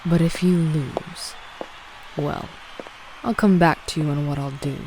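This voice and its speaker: A woman's voice: deep voice, steady tone, unhurried, a little mellow, slight background noise, poor audio. A woman playing a taunting, menacing fighter and clan leader in a visual novel, crude and cool in manner. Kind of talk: animated visual novel acting.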